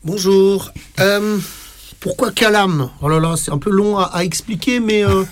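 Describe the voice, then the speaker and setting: A man being interviewed on the radio about his theatre company, talking haltingly with many fillers and repeated words. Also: sits high. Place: radio studio.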